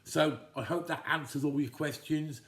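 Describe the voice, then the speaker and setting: A man's voice: on the high side, normal speed, fairly bright tone. Chatty fifties man in an echoey kitchen. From England, Telford. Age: 50s. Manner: rambling and chatty, with frequent restarts. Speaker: vlogger answering viewer questions. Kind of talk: casual chat to camera. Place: home kitchen.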